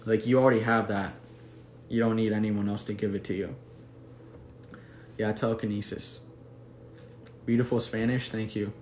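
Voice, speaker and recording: A man's voice: lowish voice, little pitch movement, moderate pace, pauses often, a little mellow. A young man from Mexico City chatting casually on a livestream, laid-back, slangy and affectionate as he answers viewers. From Mexico City, Mexico, later the US. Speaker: livestream host. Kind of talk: casual livestream chat reading viewer comments. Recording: echoey room, faint background noise.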